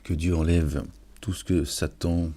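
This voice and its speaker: A man's voice: sits low, little pitch movement, unhurried, warm tone, breathy edge. A man giving an informal, sermon-like reflection on scripture, preaching and addressing his listeners familiarly with frequent check-in questions. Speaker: online religious and political commentator.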